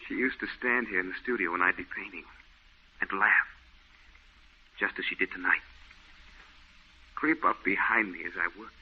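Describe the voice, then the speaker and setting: An actor playing a haunted, fearful, guilt-ridden painter in an old radio horror play. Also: male voice, sits low, moderate pace, long pauses, husky. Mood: brooding confession. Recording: dull, band-limited old radio recording.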